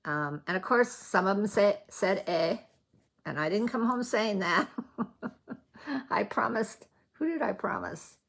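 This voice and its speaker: Husky, creaky-voiced American Midwestern woman. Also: mid-range voice, lively intonation, a little slow, fairly bright tone. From Colorado, United States. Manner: rambling, often backtracks mid-thought. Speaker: needlework vlogger. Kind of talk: casual chatty vlog recap.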